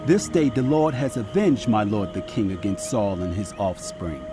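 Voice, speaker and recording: A man's voice: fairly low pitch, normal speed, over music, natural voice. A male actor playing a boastful assassin who proclaims his deed to a king in a dramatized scripture. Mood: triumphant. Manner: loud, proud proclaiming.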